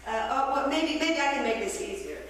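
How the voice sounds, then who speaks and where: A woman performing as a playful, teasing radio call-in host in a live theatrical radio drama, with a halting, coy delivery. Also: sits high, lively intonation. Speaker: performance artist. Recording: distant echoey hall, faint noise.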